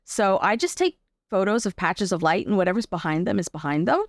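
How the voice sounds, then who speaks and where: A woman's voice: medium pitch, very animated, a little fast, no room sound, clean studio sound. A Canadian woman talking as an interview guest, explaining her work in a chatty, conversational way and often checking in with the listener. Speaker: interview guest, artist and arts accessibility advocate.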